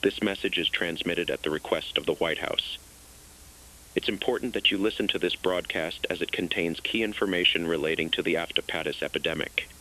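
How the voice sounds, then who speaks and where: A man playing an official emergency broadcast announcer, reading a scripted alert in a formal, measured, grave manner for an analog horror drama. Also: deep voice, bright, forceful tone, rough recording.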